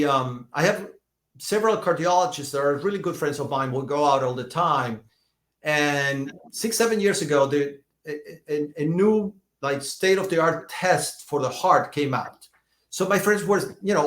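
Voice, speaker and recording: A man in his fifties, a doctor being interviewed, telling stories in a lively way, often restarting and repeating words. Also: a little high, echoey room. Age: 50s. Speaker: functional medicine doctor, guest. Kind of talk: conversational podcast interview.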